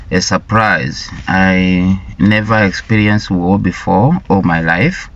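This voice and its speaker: A middle-aged man from Rwanda telling his life story in a deliberate, halting way, often repeating words. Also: low-pitched, vocal fry, a little hiss or hum. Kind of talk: slow personal storytelling. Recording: dull lo-fi recording.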